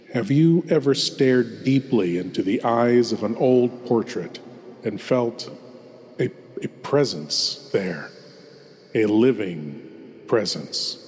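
A man playing a suave, eerie horror host lord, introducing tales in a theatrical, deliberate manner with dramatic pauses. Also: fairly low pitch, a little mellow. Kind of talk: scripted old-time radio horror host narration. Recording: long cavernous reverb.

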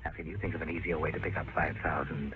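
An actor playing a menacing, calculating criminal mastermind, condescending and theatrical, in 1940s radio drama style. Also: male voice, extremely low pitch, fairly even intonation, fast. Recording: scratchy, tinny old radio recording.

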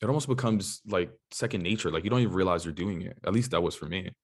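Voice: sits low, fast, husky. A podcast host chatting casually, affirming the guest and asking questions with many restarts. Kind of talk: casual interview conversation.

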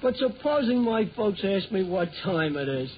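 A man's voice: high voice, big pitch swings, moderate pace, breathy edge, exaggerated voice. A man playing a doubtful, protesting teenage boy in a 1940s radio sitcom. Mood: doubtful, reluctant. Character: cautious, reluctant teenage boy, Henry's friend Herbert. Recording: muffled, noisy 1940 radio recording.

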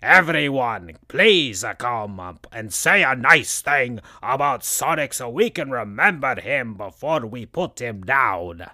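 A stiff male funeral director makes slow, formal announcements, as a man reads him out. His voice is lively in pitch, and the recording is dry and close. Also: dry recording, heavily acted. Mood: solemn.

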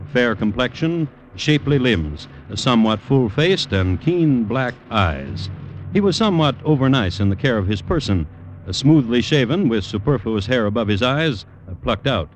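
A man hosting and narrating an old radio crime show, dry and deliberate. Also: medium pitch, low-quality recording. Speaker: host and narrator. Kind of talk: 1950s radio scripted narration. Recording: hissy old radio recording.

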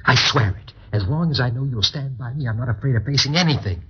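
A man's voice: medium pitch, fast talker, slightly rough. A man playing a guilt-ridden, scheming husband who pleads urgently and frantically in an old-time radio melodrama. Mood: urgent, pleading. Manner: urgent, pleading, stammering under pressure. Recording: dull lo-fi old radio recording.

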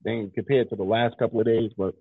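A man's voice: lowish voice, level tone, fast talker, vocal fry, breathy edge, no room sound, narrow, tinny audio. A congested man hosting a radio talk show, arguing insistently and often repeating himself. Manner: argumentative, insistent, repeats words. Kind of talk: casual debate chat.

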